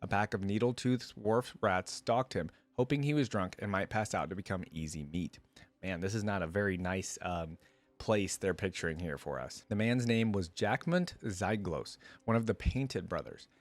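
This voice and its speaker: A man hosting a reaction video, reading a story aloud and breaking off for casual, slangy commentary. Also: on the low side.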